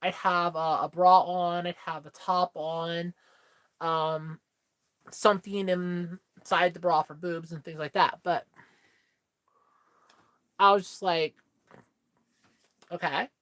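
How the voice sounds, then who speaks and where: A woman's voice: on the low side, level tone, takes it slowly, long pauses, a bit bright. A woman in her thirties chatting candidly with viewers on a livestream, telling a personal story in a rambling way full of fillers. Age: 30s. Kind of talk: casual chat with viewers.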